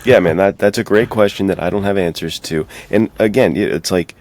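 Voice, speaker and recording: A man with a deep voice, speaking as a podcast co-host. His delivery is conversational and laid-back, full of everyday slang. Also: brisk, darkish tone, no echo. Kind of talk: casual chat.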